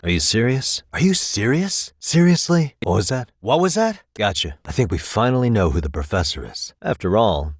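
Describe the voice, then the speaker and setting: A casual, wry detective hero from a video game. His voice is low and swings widely in pitch as he talks in an easy, conversational, encouraging way, recorded very dry and close. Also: very animated, moderate pace, hardly any pauses, slightly rough, dry recording. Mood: surprised, urgent, then confident. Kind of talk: English game dub voice acting.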